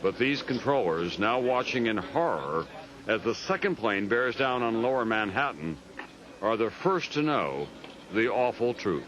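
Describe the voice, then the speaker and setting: A man's voice: lowish voice, expressive, a little slow, fairly bright tone, lo-fi sound. A man with an American accent reading scripted news documentary narration.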